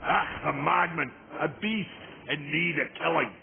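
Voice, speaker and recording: Casting: a solemn, gravelly old chieftain, somewhat high, tinny game audio. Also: male voice, a little slow, background noise. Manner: solemn storytelling, heavy sighs.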